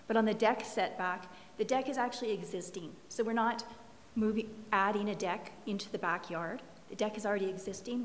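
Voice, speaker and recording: Hoarse woman addressing a planning board. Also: mid-range voice. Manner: earnest, explanatory, a little hoarse. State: losing her voice. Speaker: applicant's designer presenting to a planning commission. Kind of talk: formal public meeting presentation. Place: council chamber. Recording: reverberant meeting room, faint hiss.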